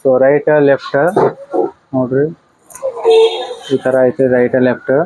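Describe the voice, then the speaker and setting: A man's voice: mid-range voice, level tone, a little slow, dark tone, no room sound, poor audio. A man with a North Karnataka accent giving a casual product unboxing and review, in a repetitive way with short exclamations. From Karnataka, India.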